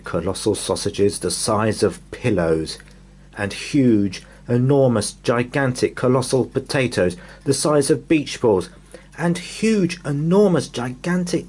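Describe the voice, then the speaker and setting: A man reading a children's bedtime story in an expressive, dramatic way, lingering on repeated words. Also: mid-range voice, slightly rough.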